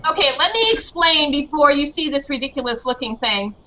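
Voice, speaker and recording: A woman's voice: high-pitched, expressive, a little fast, sharp tone. A woman playfully and encouragingly leading children through an activity over a video call. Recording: dull, lo-fi video call with noise.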